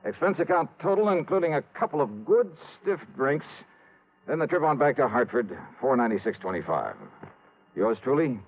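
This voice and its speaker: An actor playing a tough, wry American insurance investigator in a 1950s radio noir drama. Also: male voice, mid-range voice, sing-song, normal speed, narrow, tinny audio. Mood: grim, wry. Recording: thin vintage radio broadcast, loud music.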